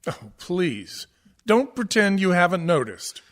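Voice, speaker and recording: An actor playing a pompous, erudite scholar of musical theater who lectures pretentiously, in a comic radio play. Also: male voice, sits high, very animated, slow pace, a bit bright, full character voice. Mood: smugly lecturing.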